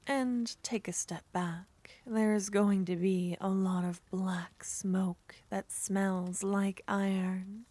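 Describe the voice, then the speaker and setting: A woman voicing a seductive, possessive witch, speaking softly in half whispers with a teasing manner in an ASMR roleplay. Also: mid-range voice, fairly even intonation, slow pace, few pauses, no room sound.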